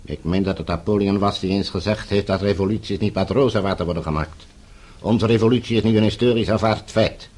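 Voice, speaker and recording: An actor playing a calmly menacing middle-aged official in a radio play, measured, polite and condescending. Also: male voice, on the low side, natural voice. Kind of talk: Dutch radio play acting.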